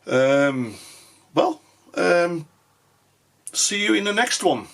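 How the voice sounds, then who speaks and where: A man whose first language is Norwegian, giving a casual firearm review in English with frequent pauses and restarts. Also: high-pitched, animated, fairly bright tone. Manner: halting, many fillers and restarts. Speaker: gun reviewer.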